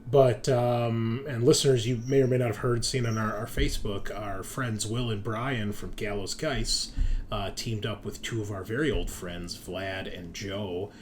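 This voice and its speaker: Male game master, low voice, sweary casual banter, clear background noise. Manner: sweary, teasing.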